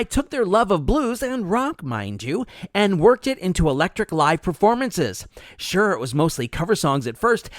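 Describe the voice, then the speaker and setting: High, lively-voiced male music host. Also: no echo, studio quality. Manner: wry, chatty, jokey asides. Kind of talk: scripted show narration.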